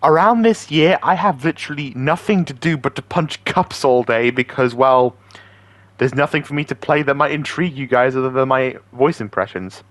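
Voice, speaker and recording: A man's voice: medium pitch, normal speed, a little hiss or hum. A man hosting as an amateur voice impressionist, chatting casually to viewers in a rambling, self-deprecating way. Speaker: amateur voice impressionist and video host.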